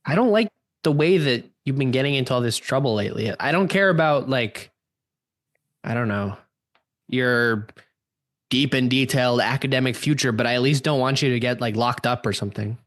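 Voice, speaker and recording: A man's voice: mid-range voice, moderate pace, a bit bright, dry recording, clean studio sound, close to an everyday voice. A man playing an exasperated, protective teenage older brother in a radio play, slangy and defensive. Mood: scolding.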